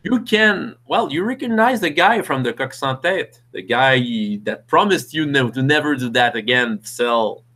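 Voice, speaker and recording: A man's voice: mid-range voice, animated, bright, forceful tone. A man with French as his first language, narrating a tabletop game as game master with many restarts. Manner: halting, many restarts and fillers.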